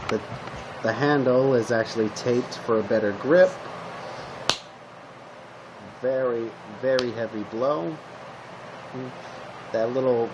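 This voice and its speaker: Halting man in a noisy amateur recording. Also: medium pitch. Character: calm male zombie apocalypse survivor giving weapon tips. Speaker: roleplay video creator playing a zombie survivor. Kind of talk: casual improvised roleplay monologue to the listener.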